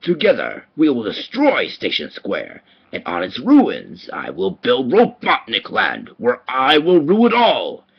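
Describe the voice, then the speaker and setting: A man's voice: medium pitch, huge pitch swings, a little slow, gravelly, hardly any pauses, husky, voice pushed up, full character voice. A man voicing a pompous, gleefully megalomaniac mad scientist cartoon villain with grand theatrical delivery, in an amateur voice demo. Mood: gloating, grandiose. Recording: muffled lo-fi home recording.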